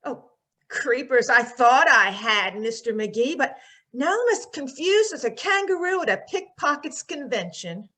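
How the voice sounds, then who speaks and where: Actress as boy-crazy, scatterbrained girl for vintage radio comedy; high pitch, gushing run-on delivery. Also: lively intonation, unhurried, bright, forceful tone, full character voice. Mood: flustered, gushing. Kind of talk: 1940s radio comedy acting.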